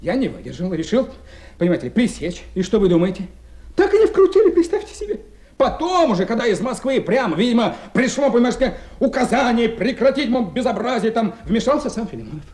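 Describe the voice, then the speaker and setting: A pompous, aggrieved working man with a high, very animated voice. He stammers and pads his complaints with officious phrases, querulous throughout, in a 1970s radio drama with a roomy echo. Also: brisk. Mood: querulous, mocking.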